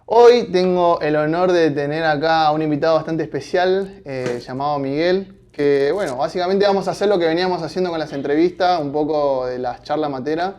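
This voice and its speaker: A man's voice: a little high, fairly quick, hardly any pauses, a little mellow. A man with an Argentine accent hosting a casual interview vlog, friendly and upbeat. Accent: Argentine Spanish. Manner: friendly, upbeat, uses voseo. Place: office.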